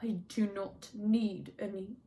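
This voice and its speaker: A woman's voice: medium pitch, flat delivery, slow pace, dark tone, slight background noise. A woman voicing a fierce young male warrior broken by grief, in short, strained, cracked phrases, as in an audiobook. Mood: numb, bleak. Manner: short, strained utterances, speaking with effort.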